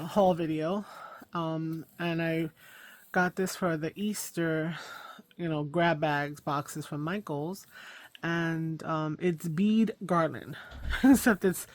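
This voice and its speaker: A middle-aged woman hosting a crafting vlog in a hoarse voice, chatting casually with lots of ums and self-corrections. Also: mid-range voice. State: hoarse, losing her voice. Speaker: craft channel host.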